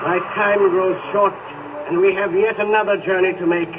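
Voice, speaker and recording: A solemn, commanding male ghost, played by an actor, speaks slowly in a fairly high voice. The sound is thin and phone-like, with a hiss of old radio noise behind it. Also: steady tone, lightly acted. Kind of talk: 1930s radio drama acting. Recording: tinny, hissy 1930s radio recording.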